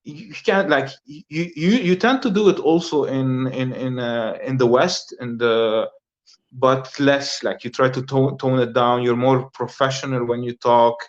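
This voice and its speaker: A man in his thirties from Lebanon, a guest talking casually in an interview, relaxed and hesitant with many fillers and repeated words. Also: mid-range voice, a little slow. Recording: slightly dull lo-fi audio.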